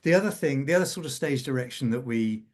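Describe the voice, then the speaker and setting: Male panel guest, scriptwriter. Mid-pitched, conversational, explanatory, circles back on phrases as he goes. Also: animated, fast, a little mellow.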